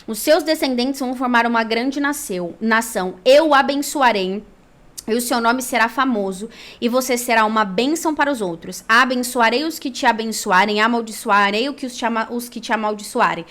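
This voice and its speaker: High-voiced Brazilian woman telling her story. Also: brisk, a bit bright. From São Paulo, Brazil. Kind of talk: personal storytelling with Bible reading.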